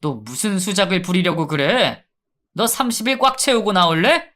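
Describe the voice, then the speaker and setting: A man's voice: high voice, expressive, moderate pace, sharp tone. A man playing a curt, stern prison guard. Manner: curt and cold.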